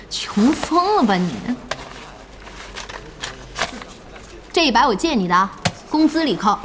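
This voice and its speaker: An actress playing a brash, excitable, sharp-tongued young woman boss in an audio drama. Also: high voice, normal speed, noisy background. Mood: teasing, blunt. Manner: animated exclaiming, teasing, blunt.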